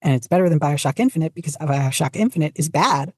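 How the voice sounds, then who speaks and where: A woman's voice: low voice, lively intonation, quick pace, darkish tone, husky, no room sound, clean studio sound. A woman chatting casually as a podcast guest, rambling along with self-deprecating humor. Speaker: podcast guest, fanfiction writer. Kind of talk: casual chat.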